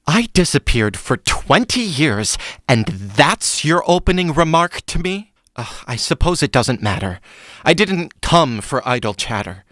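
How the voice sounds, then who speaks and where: A man's voice: on the high side, very animated, measured pace, fairly bright tone, dry recording, studio quality. A man playing a long-lost, self-absorbed prince who is indignant and pleading, in a fantasy audio drama. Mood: impatient. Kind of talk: stage-style fantasy audio drama acting.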